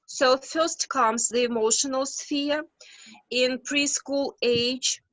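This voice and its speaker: A woman with a Russian accent, a psychologist answering questions on a video call, speaking slowly and carefully with many hesitations. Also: high voice, fairly even intonation, a bit bright, no echo, poor audio. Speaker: guest expert psychologist. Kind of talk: webinar Q&A answer.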